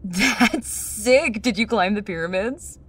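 A cheeky, teasing teenage schoolgirl, played by a woman, with a slow, unhurried delivery. She needles the teacher in class. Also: mid-range voice, a bit bright, husky. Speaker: student.

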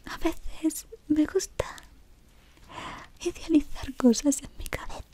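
A woman in her thirties playing a scheming, devoted female servant of a dark wizard, whispering close to the mic in a dim room. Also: sits high, slow pace. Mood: sinister devotion. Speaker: ASMR roleplayer. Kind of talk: whispered ASMR roleplay.

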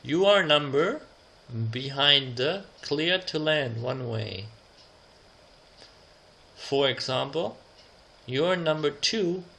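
A man narrating an instructional slide presentation as a tutor, reading out example phrases in a deliberate, measured way. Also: medium pitch, creaky, sharp tone.

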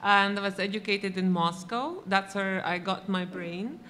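A woman's voice: mid-range voice, unhurried, fairly bright tone, long reverb tail, a little hiss or hum. A woman from Latvia with a Latvian accent, introducing herself on an animation panel with cheeky humor and many hesitant fillers. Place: gallery stage before a large audience. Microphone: table mic.

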